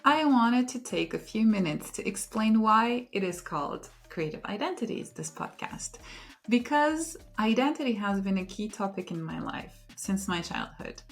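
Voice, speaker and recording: A woman hosting her own podcast, introducing it in an earnest, unhurried way with thoughtful pauses. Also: mid-range voice, expressive. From Luxembourg. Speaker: podcast host. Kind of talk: reflective solo podcast intro.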